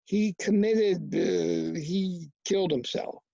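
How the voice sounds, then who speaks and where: Storybook little boy, acted by a reader, earnest, guarded, subdued, husky, lo-fi sound. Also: medium pitch, slow, mellow, no room sound, exaggerated voice. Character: timid, subdued young boy, wary but earnest.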